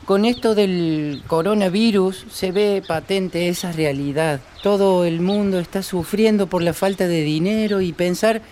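A man's voice: sits high, a little slow, hardly any pauses, darkish tone, slight background noise. Actor as a restless young northern Argentine countryman. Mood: earnest, wistful. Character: restless young country man dreaming of city progress. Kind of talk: radio play acting.

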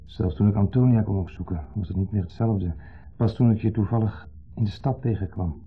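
Male actor as sentimental ex-husband, lowish pitch, halting, reflective, longing, radio play, dull lo-fi sound. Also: slight background noise, lightly acted. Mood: subdued, earnest. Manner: halting, reflective, speaks in short fragments. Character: wistful, sentimental divorced man longing for his ex-wife. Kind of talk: 1970s Dutch radio drama acting. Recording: muffled, lo-fi 1970s radio recording.

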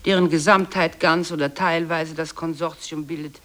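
A woman with a husky voice giving a scripted, precise and emphatic instructional narration in a radio play. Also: sits low, fairly even intonation, normal speed, a bit bright. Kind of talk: scripted second-person narration.

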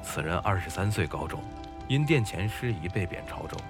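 A man playing a gentle, earnest, bookish boatman in a Chinese period audio drama, easily flustered. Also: sits low, moderate pace, loud music behind the voice. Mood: storytelling. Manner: earnest, expressive. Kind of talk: Chinese audio drama acting, dialogue and monologue.

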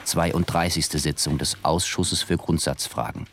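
A man's voice: very deep voice, steady tone, measured pace, fairly bright tone, slight background noise. A man reading terse scripted announcements as the announcer of a documentary radio piece.

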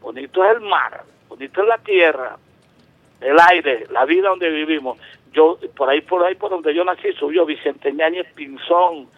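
A man's voice: fairly high pitch, moderate pace, dry recording. A man in his seventies from Venezuela, calling in to a radio show, talking at length with emphasis and many restarts. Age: 70s. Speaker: caller. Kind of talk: call-in radio talk. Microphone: telephone. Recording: tinny phone line.

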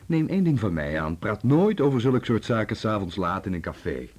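A man's voice: lowish voice, very animated, brisk, a little mellow. An actor in a Dutch radio drama playing a self-satisfied husband who lectures his wife like an experienced administrator. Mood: smug, advising.

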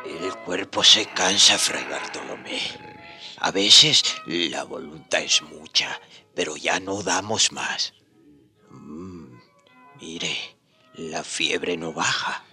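An actor playing a caring, respectful priest in a radio drama, speaking in a gentle, solemn manner. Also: male voice, low-pitched, slow, soft background music, heavily acted. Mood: concerned. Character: caring, respectful priest tending an ailing elder friar.

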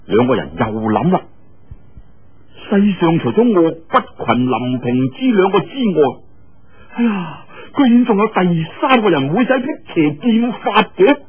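A man's voice: high voice, sing-song, unhurried, muffled recording. A man with a Cantonese accent telling a martial-arts tale in a dramatic, colloquial, exclamatory style. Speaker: storyteller. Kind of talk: animated martial-arts storytelling.

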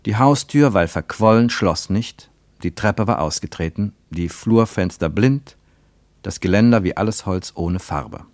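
A man narrating a literary radio drama in a measured, deliberate way. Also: lowish voice, darkish tone, dry recording.

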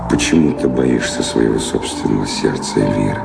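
A weary, dreamy middle-aged wanderer in an audio drama, with a very deep, gravel-edged voice. He speaks evasively and reflectively, with measured pauses, in a space with long reverb. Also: exaggerated voice. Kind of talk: theatrical audio drama dialogue. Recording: reverberant stage-like sound, loud music behind.

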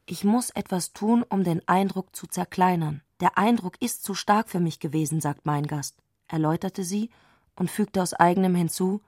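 A woman reading scripted literary narration for a radio play with measured, precise diction. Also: lowish voice, a little mellow, no echo, studio quality.

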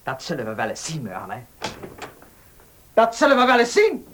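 A determined young man in a radio drama, with a mid-pitched, lively voice that swings up and down. The old recording has faint noise behind him. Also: normal speed. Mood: defiant. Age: young adult. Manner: defiant. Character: defiant young heir wanting to marry. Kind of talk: Dutch radio play acting.

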